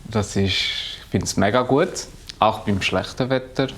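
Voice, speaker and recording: A man in his thirties, a postal worker, speaking simple halting as an in a documentary interview. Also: on the low side, a bit bright. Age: 30s. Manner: simple halting German, laughs often.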